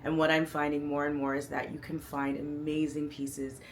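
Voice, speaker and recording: A woman in her thirties with a notably low, rich speaking voice, chatting to camera about her outfit. She is upbeat and playful, tossing in slang and hyping herself up. There is a faint hiss of noise behind her. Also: flat delivery, moderate pace. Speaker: fashion and lifestyle vlogger. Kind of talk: casual outfit breakdown to camera.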